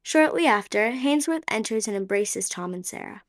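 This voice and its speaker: A woman's voice: mid-range voice, animated, moderate pace. A woman narrating a radio play in a plain storytelling manner.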